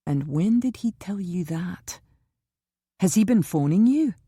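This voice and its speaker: A steady, protective man, voiced by a woman narrator who sits low and mellow in tone. His speech is measured and reassuring and turns grimly insistent when pressed, and the recording is dry and close. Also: breathy edge, no room sound. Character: calm, protective older man, steady and wry.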